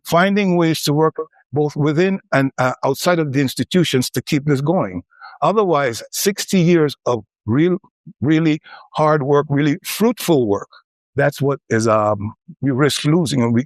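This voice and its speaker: A man's voice: a little high, expressive, unhurried, no room sound. A male panelist speaking urgently and repeating his key point for emphasis. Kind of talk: round table remarks.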